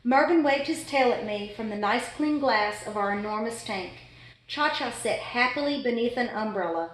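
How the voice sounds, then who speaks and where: A woman's voice: fairly high pitch, moderate pace, lightly acted. A woman voicing an exasperated, fussy pet goldfish in a dramatic children's storybook read-aloud. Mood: relieved, happy. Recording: echoey room.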